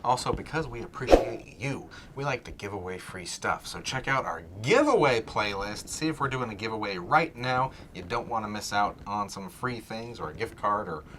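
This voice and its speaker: A man's voice: on the low side, lively intonation, normal speed, few pauses. A man co-hosting a video channel in casual chat, with joking, emphatic remarks. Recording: noisy recording with clicks.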